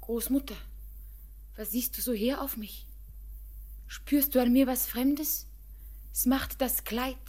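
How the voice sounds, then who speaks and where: A high, slow-spoken young bride. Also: female voice. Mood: wistful. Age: young adult. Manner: soft, lingering verse speech. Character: young bride, dreamy and grieving. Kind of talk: classic radio play acting.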